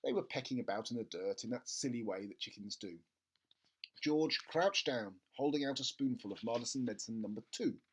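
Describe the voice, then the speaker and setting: A storyteller reading a children's book aloud in an expressive narrating style. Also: mid-range voice, brisk, slight background noise. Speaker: storybook reader.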